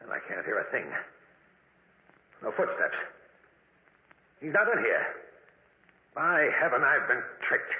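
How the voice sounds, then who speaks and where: Casting: blustering, menacing duelist, old radio horror, slow and melodramatic with big pitch swings, muffled vintage recording. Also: male voice, roomy sound, a little hiss or hum. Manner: theatrical, blustering, drawn-out delivery. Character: swaggering, menacing knife duelist turning to terror in darkness. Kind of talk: 1940s radio horror acting.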